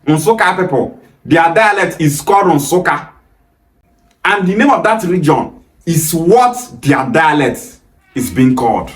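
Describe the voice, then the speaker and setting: Young nigerian guy, nigerian accent, high-ish voice, talks to camera all fired up, roomy echo. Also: animated, measured pace. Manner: emphatic, gestures freely, rises sharply on rebuttals. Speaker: video host. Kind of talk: passionate direct-to-camera talk. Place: small indoor room against a plain wall.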